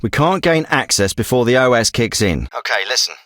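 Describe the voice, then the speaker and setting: A man's voice: medium pitch, very animated, a little fast, a bit bright, no echo, clean studio sound. An actor playing a commanding male special forces team leader in a video game, with firm, clipped, businesslike military delivery. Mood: urgent, focused. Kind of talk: scripted military radio dialogue.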